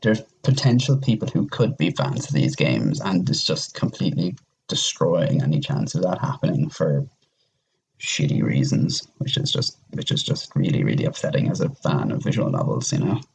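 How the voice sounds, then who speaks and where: Male podcast panelist, deep and slightly rough voice, casual, emphatic and opinionated. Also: big pitch swings, moderate pace, a little mellow, rough recording. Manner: rambling, emphatic, opinionated, mild swearing. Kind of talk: casual discussion.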